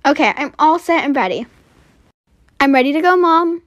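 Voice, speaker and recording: Woman as an eager, high-voiced schoolgirl. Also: lively intonation, moderate pace, no echo, studio quality. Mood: excited. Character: brave, eager young schoolgirl heroine.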